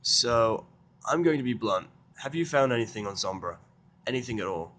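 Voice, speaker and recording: A man's voice: medium pitch, animated, moderate pace, fairly bright tone, natural voice. A man playing an irritable, sarcastic, foul-mouthed human in a fan fiction audio drama, blunt and impatient.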